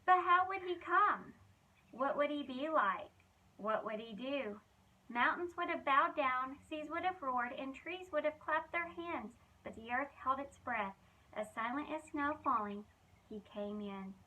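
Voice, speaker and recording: A woman with a high, bright voice reads a storybook aloud for children. She tells it expressively, leaning on key phrases with dramatic emphasis, like a children's ministry reader. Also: measured pace, rough recording.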